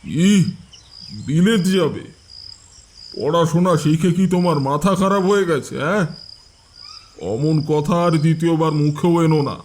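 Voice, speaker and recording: A man's voice: fairly high pitch, unhurried, pauses often, darkish tone, slight background noise. A man playing a stubborn, scolding old-fashioned father. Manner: scolding, self-satisfied. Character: stubborn, orthodox older father.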